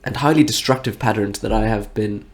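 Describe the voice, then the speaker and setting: A man's voice: on the low side, a little fast. A nasal-sounding man with the flu and a stuffy nose, talking frankly and reflectively in a rambling personal monologue. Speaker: health vlogger.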